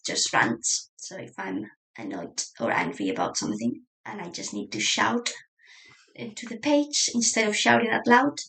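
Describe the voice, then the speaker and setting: Chatty woman with a slight creak. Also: mid-range voice, rough recording. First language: Spanish. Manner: chatty, rambling, many fillers and self-corrections; laughs lightly, trails off softly. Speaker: journaling vlogger. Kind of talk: casual flip-through chat.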